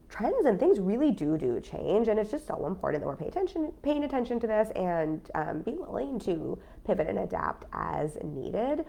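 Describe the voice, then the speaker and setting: A business coach with a cold, talking casually on a live stream in a nasal, stuffy voice with lots of fillers. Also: female voice, deep voice, vocal fry, warm tone. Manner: chatty, frank, many fillers. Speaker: business coach and podcast host.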